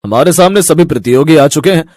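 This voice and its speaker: A man's voice: fairly high pitch, fast, a little mellow, lightly acted. A man acts an enthusiastic restaurant owner hosting a cartoon contest. He announces emphatically in a raised male voice. The sound is close, with no room echo. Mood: excited. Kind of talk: Hindi cartoon story acting.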